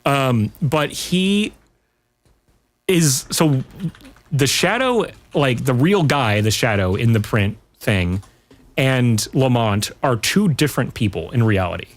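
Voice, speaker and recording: A man's voice: medium pitch, lively intonation, slow. A man talking casually as a podcast guest, in an informal way with rambling asides. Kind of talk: casual pop culture chat.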